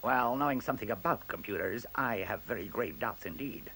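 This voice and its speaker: A man's voice: fairly low pitch, lively intonation, a little fast, vocal fry, bright, forceful tone, exaggerated voice. A male actor playing an arrogant, sceptical professor in a radio play, with a precise and pompous manner. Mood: sceptical, challenging. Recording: faint hiss on radio play recording.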